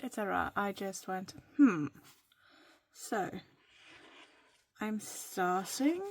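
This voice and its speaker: A woman from Norway, whose first language is Norwegian, chatting casually in a playful, rambling way that sometimes drops to a soft whisper while she writes in her journal. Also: medium pitch. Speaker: vlogger.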